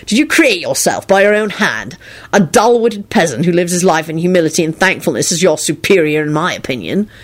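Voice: mid-range voice, animated, fairly quick, lightly acted. A storyteller voicing an arrogant male royal prince who speaks in an imperious, formal, easily offended way. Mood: haughty, outraged.

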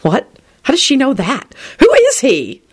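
A woman's voice: high-pitched, big pitch swings, measured pace, husky, no echo, studio quality, pitched above the performer's own voice, exaggerated voice. A woman voicing an anxious young woman's fretful inner thoughts in a story reading. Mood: bewildered.

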